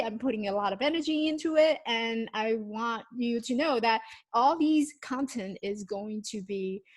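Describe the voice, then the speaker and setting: Woman, mandarin is her first language, talking in english, slightly high voice, lots of ums n restarts, casual vlog chat. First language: Mandarin Chinese. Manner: chatty, with frequent restarts and ums; shows notebooks to the camera while talking. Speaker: YouTube host.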